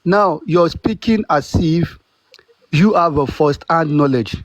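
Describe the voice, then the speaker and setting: A man steps in to calm an online argument, measured and repeating "hold on.". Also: on the high side, no room sound. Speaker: participant in an online audio discussion. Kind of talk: casual debate.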